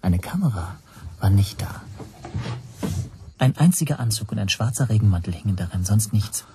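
An actor narrating a radio drama in the first person as an anxious language teacher, hushed and tense. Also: male voice, on the low side, lively intonation, moderate pace, noisy background. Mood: wary. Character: anxious male language teacher recounting his spy troubles.

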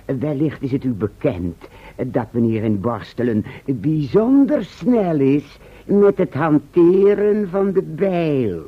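Pedantic radio-play sage, pompous formal diction, husky voice, swooping intonation. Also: male voice, mid-range voice, heavily acted. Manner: pompous, formal, elaborate wording. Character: verbose, self-satisfied male town scholar and prophet, pedantic. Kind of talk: Dutch radio play acting. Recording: muffled vintage radio play recording.

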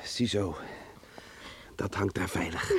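A young man acting a pushy, teasing, jealous village lad in a Dutch radio drama, husky and insistent. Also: low-pitched, takes it slowly, fairly bright tone, no room sound, full character voice. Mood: teasing, smug. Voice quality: husky, gravelly. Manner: taunting, chuckling, insistent.

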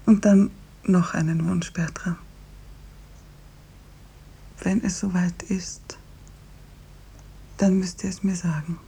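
An actress in a 1950s radio drama playing a suffering, bravely resigned dying patient, with a breathy, often half-whispered voice. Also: female voice, lowish voice, level tone, slow, pauses often, warm tone, full character voice. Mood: weak, tender, resigned.

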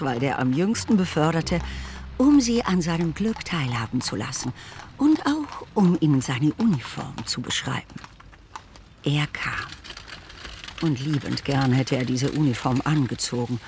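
Measured woman narrator over music. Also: low voice. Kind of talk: scripted literary narration in a radio drama.

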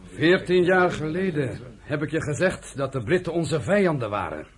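An actor playing a bitter, reproachful hardline militant in a Dutch radio play. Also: male voice, fairly high pitch, very animated, moderate pace.

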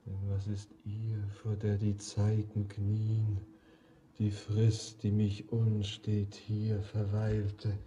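A man playing a solemn, world-weary lover yearning toward death in a poetic radio drama, declaimed with long pauses. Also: on the low side, completely flat delivery, mellow. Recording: dull lo-fi radio recording with noise.

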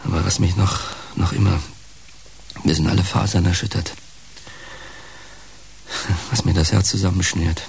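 A bitter heartbroken washed-up showman, low voice, radio drama, halting and heavy with feeling, hissy recording. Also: fairly even intonation. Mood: aching. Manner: halting, dramatic, emotionally laden delivery. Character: bitter, heartbroken fallen entertainer, male, wounded and brooding. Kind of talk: German radio play dialogue. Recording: clear background hiss.

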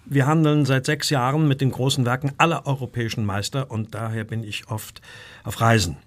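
An actor playing an anxious, well-bred Victorian art dealer in a radio play, telling his story in a formal, measured way. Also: medium pitch, fairly even intonation, close to an everyday voice. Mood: uneasy, formal.

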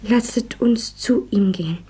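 Casting: solemn woman reciting scripture for radio drama, high but mellow, whispery, hushed. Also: a little slow. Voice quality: breathy, whispery.

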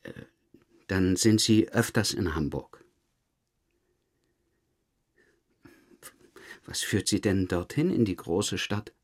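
An actor playing a calmly probing police detective in a radio drama interrogation, measured and insistent. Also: male voice, low-pitched.

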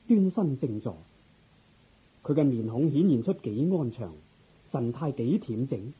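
Gracious dark-voiced Cantonese king. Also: mid-range voice, husky, heavily acted, poor audio. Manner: stately, courteous. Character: gracious, earnest king, humble yet dignified. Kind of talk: Cantonese radio drama acting.

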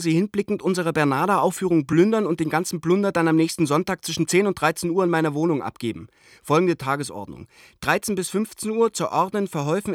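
An actor playing a brisk, jocular theatre organiser reading a playfully mock-official circular in a radio play. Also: male voice, high-pitched, no room sound, studio quality. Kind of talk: radio play, circular letter read aloud.

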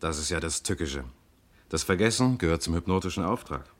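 An actor playing a self-assured police detective in an old German radio crime play, explaining things matter-of-factly. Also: male voice, low-pitched, lively intonation, fairly quick. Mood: explaining, composed.

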